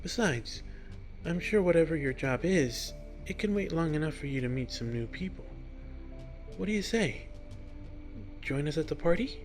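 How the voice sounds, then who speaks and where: A man's voice: fairly high pitch, animated, moderate pace, long pauses, faint music behind, pitched above the performer's own voice, lightly acted. A man voicing a friendly, curious young bookish woman in a story reading, given to puzzled questions. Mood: warm, coaxing. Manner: thinks aloud in puzzled questions.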